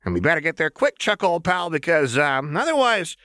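A man's voice: a little high, sing-song, brisk, bright, forceful tone, no echo, studio quality, pitched above the performer's own voice. A man voicing a manic, gleefully menacing young woman in an audiobook, with chirpy, taunting, slangy chatter. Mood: playful menace.

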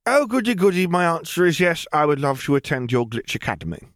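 A cheeky young girl from a fantasy storybook, acted out by a man. The voice sits high above a normal male range, in a close, dry recording. It is breezy and flippant, with a mock-polite manner. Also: big pitch swings, a little fast, a bit bright, dry recording.

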